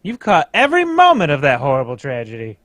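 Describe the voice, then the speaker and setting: A man's voice: a little high, wildly swooping pitch, fast talker, a bit bright, no echo. A man reading an old-fashioned character in a table read of a 1940s radio detective drama, with theatrical period phrasing. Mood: admiring. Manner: theatrical, old-timey exclamations.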